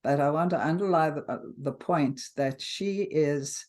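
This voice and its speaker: Older South African woman, halting and earnest. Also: low voice, a little mellow. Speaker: interview guest. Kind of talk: casual interview talk.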